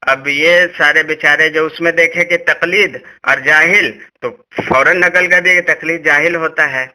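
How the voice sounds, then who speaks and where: A man's voice: a little high, fairly even intonation, normal speed, pushed and bright. A man giving an argumentative religious explanation on a recorded phone call, insistent and lecturing, often repeating himself.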